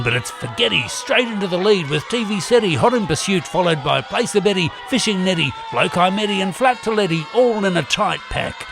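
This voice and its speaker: A man playing an excitable sports commentator in a parody race call, reeling off rider names theatrically. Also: a little high, vocal fry. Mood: excited, urgent.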